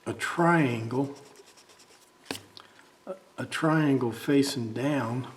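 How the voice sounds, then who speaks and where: A man talking casually and haltingly, thinking aloud as he demonstrates a drawing. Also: medium pitch, slight background noise. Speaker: amateur artist demonstrating a drawing. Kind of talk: casual talk while drawing.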